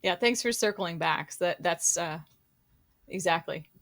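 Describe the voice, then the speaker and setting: A woman, a co-author on a podcast, speaking in a measured way with frequent fillers. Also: fairly low pitch, a bit bright. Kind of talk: casual podcast chat.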